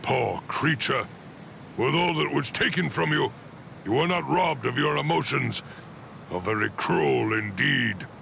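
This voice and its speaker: An actor playing an ancient, stern and proud warrior commander in an anime video game, with a gravelly voice and a grave, imperious delivery. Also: male voice, mid-range voice, lively intonation, unhurried, sharp tone, a little hiss or hum, full character voice. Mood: grim, pitying. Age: elderly. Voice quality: gravelly, creaky.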